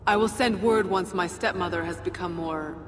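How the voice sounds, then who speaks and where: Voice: medium pitch, normal speed, lightly acted. A performer playing a cool, dry female fire nymph in a fantasy video game, speaking formally in clipped, wry phrases. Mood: cool, serious. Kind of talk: video game cutscene acting. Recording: long reverb, some background noise.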